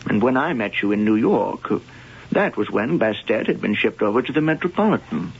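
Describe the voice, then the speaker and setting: An actor playing a reserved, sceptical museum professor in a radio mystery drama, speaking in a measured, hesitant way. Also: male voice, lowish voice, lively intonation, breathy edge. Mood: reserved, apologetic. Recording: muffled, noisy 1980 radio broadcast.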